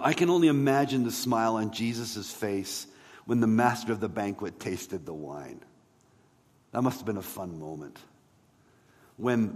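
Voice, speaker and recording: A man preaching a sermon as a pastor, in a conversational way with rhetorical questions and emphatic pauses. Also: on the low side. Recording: long hall reverb.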